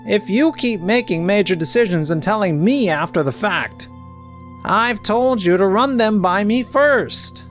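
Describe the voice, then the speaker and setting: A woman's voice: medium pitch, expressive, unhurried, soft background music, close to an everyday voice. A woman playing a stern female tax advisor in a comic dub, with a firm, reproachful manner. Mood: stern scolding. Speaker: tax advisor and management consultant. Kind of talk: anime-style comic dub acting.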